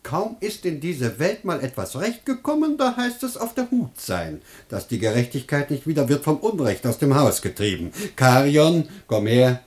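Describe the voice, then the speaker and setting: A man's voice: on the high side, big pitch swings, normal speed, few pauses, darkish tone. A man playing a pompous, moralizing master of the house in a radio comedy, declaiming and commanding. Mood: solemn, commanding. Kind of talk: German radio play acting of classical comedy.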